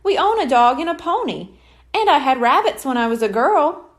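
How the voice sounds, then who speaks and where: A woman's voice: sits high, very animated, normal speed. A woman voicing a reluctant, good-natured mother in a children's story, polite but firm.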